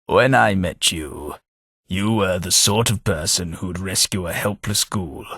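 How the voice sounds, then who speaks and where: An actor playing a polite, wry British ghoul servant, centuries old, in a video game, with a husky voice. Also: male voice, low-pitched, unhurried, a bit bright, dry recording, heavily acted. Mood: disappointed. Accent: British English.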